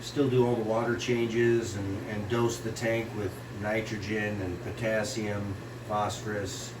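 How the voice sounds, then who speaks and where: A man with a stuffed-up, runny nose talks through his home fish room in a loose, rambling way, stopping often with fillers. His voice sits at an ordinary pitch and sounds a little distant from the camera mic. The room is echoey, and a loud air-conditioner hum runs underneath. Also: flat delivery. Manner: rambling, with many pauses and fillers. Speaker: fish breeder giving a tour. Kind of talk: casual walkthrough vlog.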